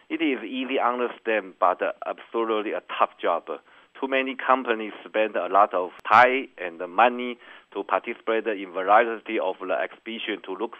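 A lowish-voiced man answers business questions in measured, careful English. The sound is thin and narrow, like a phone line. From Taiwan. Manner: careful non-native English, measured and earnest. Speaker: company executive guest.

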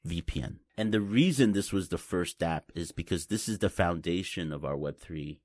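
Guest, man, very low voice, halting explainer, dry close sound. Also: darkish tone, no echo. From Taiwan. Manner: halting, repeats words, explains simply. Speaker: guest, blockchain company representative. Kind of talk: casual interview chat.

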